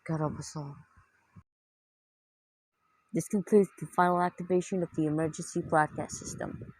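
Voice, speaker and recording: A husky, synthetic announcer voice reads a formal emergency message. The delivery is very flat, with little movement in pitch from word to word. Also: moderate pace, no room sound, low-quality recording.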